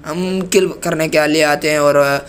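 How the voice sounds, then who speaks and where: A hoarse young man with a cold from Pakistan, giving chatty, playfully indignant commentary over his own gameplay as a gaming channel host. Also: on the high side, steady tone, a little slow, a little hiss or hum.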